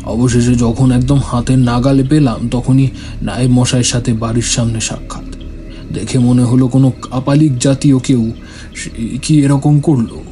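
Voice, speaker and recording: A man's voice: mid-range voice, flat delivery, normal speed, warm tone, slight background noise, natural voice. A man playing a grave, solemn village priest and occult healer in an audio horror drama. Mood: cautious, explaining.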